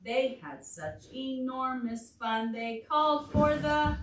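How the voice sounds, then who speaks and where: Echoey, slow-paced woman reading to children. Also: high-pitched, animated. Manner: asks the children questions along the way. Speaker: children's storytime librarian. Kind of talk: animated read-aloud to young children. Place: indoor library storytime room. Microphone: distant room microphone. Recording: echoey room, distant mic, faint noise.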